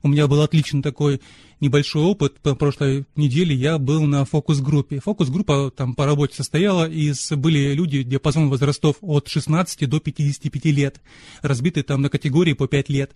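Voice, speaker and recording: Mellow-voiced male podcast guest. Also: fairly high pitch, steady tone, brisk, no room sound. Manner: friendly, expansive storytelling. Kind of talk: casual podcast conversation. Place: remote call from Moscow.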